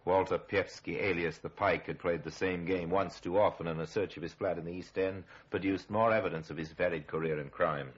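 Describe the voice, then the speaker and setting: A man acting as the grave, sardonic narrator of an old radio crime drama, telling it with measured, ominous irony. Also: sits low, steady tone, husky. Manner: measured, ironic, ominous storytelling. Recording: muffled vintage radio recording.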